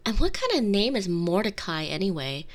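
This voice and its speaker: A woman playing a sweet, wry young princess in love, in an intimate, soft ASMR roleplay style. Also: medium pitch, sing-song, moderate pace, natural voice. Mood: weary, exasperated. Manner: soft, tender, playful, breaking into whispers.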